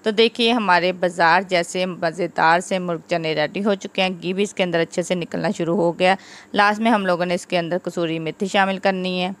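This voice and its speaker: Cooking-channel host: a woman with a lowish voice, chatty, walks viewers through each step. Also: steady tone, brisk. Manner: chatty, instructive, repeats "aap logon ne". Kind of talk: home cooking tutorial voice-over. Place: home kitchen.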